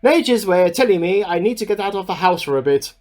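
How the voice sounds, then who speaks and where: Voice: medium pitch, expressive, quick pace, darkish tone, close to an everyday voice. An actor in a radio play playing a bemused amateur scientist who keeps a spoken diary, musing aloud in an earnest, slightly formal and self-questioning way. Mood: puzzled musing. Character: bemused amateur scientist keeping an audio diary, increasingly suspicious.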